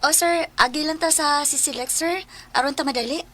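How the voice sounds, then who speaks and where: A woman's voice: high voice, fairly quick, pushed and bright. An actress with a Filipino accent playing a polite, devout and earnest woman passenger in a radio drama.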